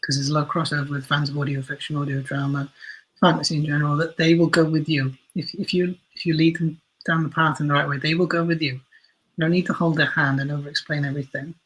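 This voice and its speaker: Husky, higher-pitched man reflecting in an interview. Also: flat delivery, quick pace, fairly bright tone, rough recording. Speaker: interview guest, audio drama writer and creator.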